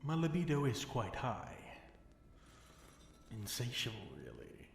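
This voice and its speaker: Slow, seductive mad dragon king acted by a man. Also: mid-range voice, wildly swooping pitch. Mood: wistful, sultry. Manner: slow, teasing, theatrical purr with murmured asides. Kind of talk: intimate ASMR audio roleplay acting. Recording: roomy echo with faint noise.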